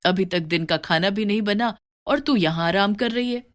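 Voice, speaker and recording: A woman's voice: mid-range voice, normal speed, close to an everyday voice. A woman voicing a bossy, commanding middle-aged mother-in-law in a moral story. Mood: scolding. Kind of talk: moral story character dialogue.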